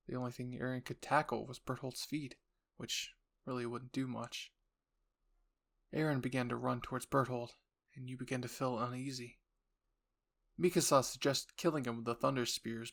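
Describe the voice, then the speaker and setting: A male narrator tells a scripted roleplay story in the second person. His voice is medium in pitch with a noticeable gravelly creak. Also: fairly even intonation, normal speed, a bit bright. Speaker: narrator and voice actor.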